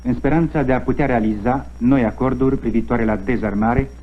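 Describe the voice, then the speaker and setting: A man reading a radio newscast in a brisk, formal way. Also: mid-range voice. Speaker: radio newsreader. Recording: distant muffled radio playback.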